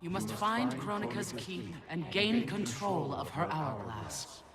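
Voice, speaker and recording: An actor playing an ancient, authoritative god in a video game, giving counsel in a grave, formal way. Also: male voice, high voice, expressive, unhurried, over a noisy crowd. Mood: grave, commanding. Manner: formal, portentous. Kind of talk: video game cutscene acting. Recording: loud music behind.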